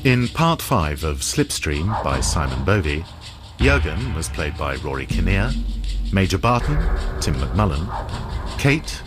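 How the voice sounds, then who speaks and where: Very deep-voiced male radio announcer. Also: sing-song, measured pace. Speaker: continuity announcer reading credits. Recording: music under the announcement.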